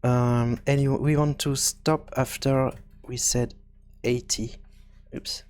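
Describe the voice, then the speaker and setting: A man hosting a programming tutorial, thinking aloud in a halting, hesitant way as he works through each step. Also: mid-range voice, fairly even intonation, a little mellow. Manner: hesitant, halting, working things out as he speaks. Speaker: video game programming tutorial host.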